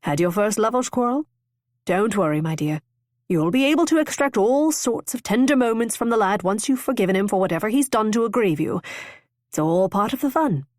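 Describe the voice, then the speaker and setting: A woman voicing a good-natured gentleman who teases with fond amusement. The voice is mid-pitched and close, in a dry recording. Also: expressive, a little fast, dry recording, close to an everyday voice. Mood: amused. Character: genial older gentleman cousin, teasing.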